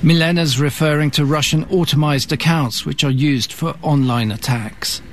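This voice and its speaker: A man's voice: a little high, steady tone, normal speed. A man narrating a scripted news report as a correspondent.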